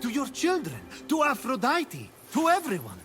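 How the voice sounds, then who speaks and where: An actor playing a plucky, earnest young mortal hero in a video game, speaking expressively. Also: male voice, very high voice, huge pitch swings, moderate pace, over music, full character voice. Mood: wary, firm.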